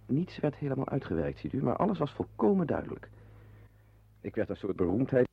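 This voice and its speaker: A man's voice: medium pitch, sing-song, a little fast, vocal fry, breathy edge, no room sound, full character voice. A man playing a weary, wry playwright who narrates a radio play, confiding in listeners in a dry, digressive way. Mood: wry, reflective. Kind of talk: intimate first-person radio play narration. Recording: dull lo-fi radio play recording.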